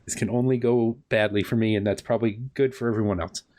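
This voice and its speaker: A man's voice: lowish voice, fairly even intonation, fast talker, dark tone. A man acting as game master, improvising the game in casual banter with a playful, wry manner and often repeating himself.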